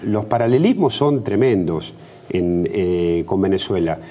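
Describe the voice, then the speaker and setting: Argentine politician guest, middle-aged man, animated pitch, slightly husky, argues with rhetorical questions. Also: a little slow. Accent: Argentine Spanish. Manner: emphatic, rhetorical questions, frequent restarts and fillers. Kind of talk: TV interview.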